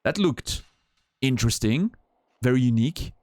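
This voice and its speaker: A man's voice: mid-range voice, expressive, normal speed, darkish tone, no room sound. A man from Switzerland with a Swiss French accent, a native French speaker, chatting casually with his stream viewers in a rambling, profane way full of restarts.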